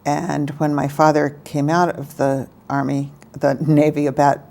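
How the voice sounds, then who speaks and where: A woman in her sixties, from Alabama, speaks in a notably low register. She answers interview questions in a measured, reflective way, often pausing with small fillers as she remembers. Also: level tone, vocal fry, warm tone. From Alabama, American South. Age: 60s. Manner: thoughtful, measured, pauses with fillers. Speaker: interviewee, lawyer. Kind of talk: oral history interview.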